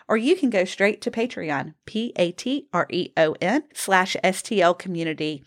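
A woman hosting a podcast, making upbeat announcements and thanking supporters. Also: on the low side. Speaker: podcast host. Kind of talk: announcements and appeal.

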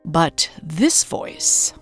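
A woman's voice: low voice, sing-song, drawn-out words, a bit bright, breathy edge, soft background music, exaggerated voice. An actress playing a wry, incredulous woman hosting a scripted investigative documentary podcast, with a dramatic storytelling manner. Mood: wry, intrigued. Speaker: host.

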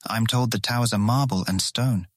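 An actor playing an earnest, awkward and easily charmed guild guard in a fantasy audio drama, eager to please. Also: male voice, mid-range voice, normal speed, no room sound, studio quality, lightly acted. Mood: proud, eager.

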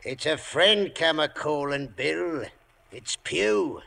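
Gravelly, sinister old blind pirate. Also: male voice, on the high side, expressive, takes it slowly, pushed and bright, exaggerated voice. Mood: menacing. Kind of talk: 1950s radio drama acting.

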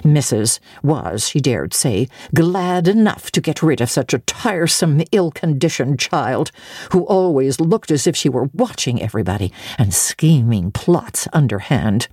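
A woman's voice: extremely low pitch, expressive, a little slow, darkish tone, husky, dry recording, clean studio sound, full character voice. A woman voicing a sour, scornful servant woman in a storybook, with a disdainful, gossipy manner.

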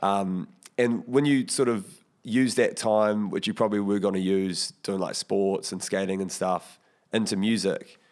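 A man's voice: fairly low pitch, steady tone, a little slow, a little mellow. A man with a New Zealand accent, hosting a podcast in a casual, laid-back and slangy way. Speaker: podcast host. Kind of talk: casual interview chat.

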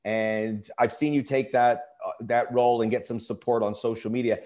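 A man's voice: mid-range voice, steady tone, normal speed, darkish tone. A man hosting an interview, asking long and rambling questions full of restarts. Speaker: interview host. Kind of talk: casual interview talk.

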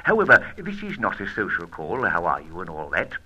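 An actor playing a suave, teasing gentleman adventurer in an old radio serial, with urbane, theatrical diction. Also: low voice, wildly swooping pitch, a little fast, breathy edge, lo-fi sound, exaggerated voice. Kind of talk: old radio serial acting, with first-person narration.

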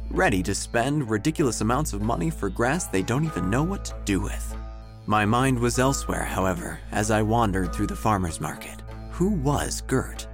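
Lively, theatrical male storyteller. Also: medium pitch. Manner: measured, theatrical, dryly whimsical. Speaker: narrator. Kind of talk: scripted storytelling narration, deadpan comic audio drama.

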